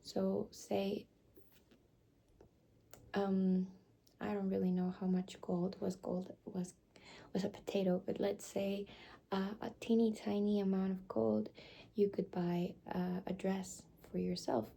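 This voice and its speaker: A young woman doing a whispered ASMR roleplay, speaking softly and hesitantly with many fillers. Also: medium pitch, flat delivery, slow pace, gravelly, long pauses, a little hiss or hum. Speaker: ASMR creator.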